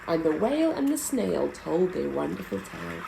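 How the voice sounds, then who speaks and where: A woman reading a rhyming picture book aloud to children in a slow, sing-song way. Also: sits low, wildly swooping pitch, gravelly, background noise. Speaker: children's story reader.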